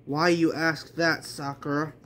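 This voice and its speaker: A man's voice: a little high, level tone, a little slow, a bit bright, slight background noise. A man voicing a crooked, violent police interrogator in a manga story, questioning and demanding.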